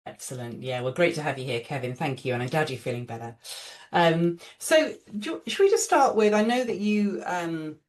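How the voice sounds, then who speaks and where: A woman's voice: deep voice, expressive, normal speed. Chatty woman hosting a podcast. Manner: friendly, chatty, rambling questions. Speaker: podcast host. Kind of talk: conversational interview.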